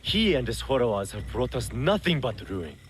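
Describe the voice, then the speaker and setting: An actor playing a smooth, self-serving male smuggler boss in feudal Japan, suave and mock-courteous, in a video game. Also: medium pitch, sing-song, moderate pace, slight background noise, close to an everyday voice. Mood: sly, mocking. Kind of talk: scripted video game cutscene acting.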